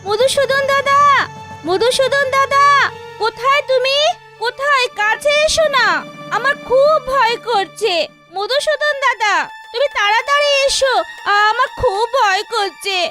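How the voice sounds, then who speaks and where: A woman's voice: very high-pitched, a little slow, music in the background. A woman voicing a small, trusting, timid schoolboy in a children's cartoon, childlike and inquisitive. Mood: terrified, pleading.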